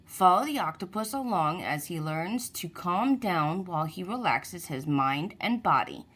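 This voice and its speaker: A woman narrates a picture book for young listeners. Her pace is slow and deliberate, and her pitch moves in a lively, expressive way. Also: husky. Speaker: children's book reader. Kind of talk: read-aloud storybook narration for children.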